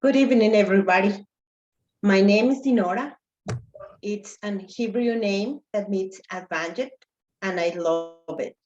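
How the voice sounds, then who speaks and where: A woman from Mexico, a native Spanish speaker with a Mexican accent, telling her migration story as a guest speaker, careful and deliberate. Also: medium pitch, a little mellow, dull audio. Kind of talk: prepared personal story.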